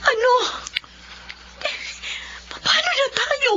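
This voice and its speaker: A woman's voice: very high voice, slow, bright, forceful tone, heavily acted. A woman acting in a radio drama as a fussy, scolding middle-aged Filipino mother who worries about her family. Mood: worried, distressed. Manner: nagging, exclamatory. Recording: dull lo-fi audio with background noise.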